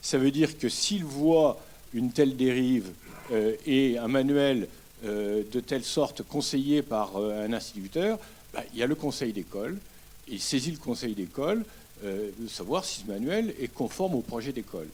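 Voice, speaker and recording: Man in the audience at a debate; mellow tone, mid pitch, measured, hesitant delivery. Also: expressive. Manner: measured, explanatory, hesitant with frequent fillers. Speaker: audience member at a public debate. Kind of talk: public question from the floor.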